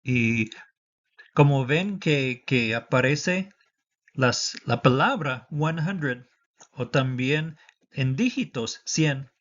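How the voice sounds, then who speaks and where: A man's voice: mid-range voice, expressive, extremely slow, fairly bright tone, dull audio. A man teaching a lesson for the citizenship test, dictating patiently and switching between Spanish and English.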